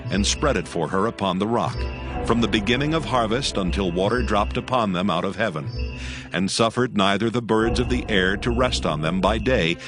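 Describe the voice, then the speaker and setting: A man with a fairly deep voice narrates scripture. His delivery is solemn and measured, and music plays clearly behind him. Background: background music.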